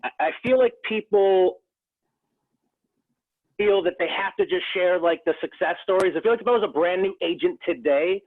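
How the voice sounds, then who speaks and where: A real estate agent from the Rochester, New York area, talking earnestly in a casual interview, with many restarts. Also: male voice, high voice, normal speed. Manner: earnest, frequent restarts and fillers. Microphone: phone. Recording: tinny phone line.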